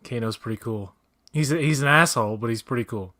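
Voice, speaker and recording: Dude ranting casually about a movie, blunt and opinionated, swears a lot, middle-pitched voice. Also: male voice, fast talker, sharp tone. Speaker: guest co-watcher. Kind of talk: casual watch-along chat.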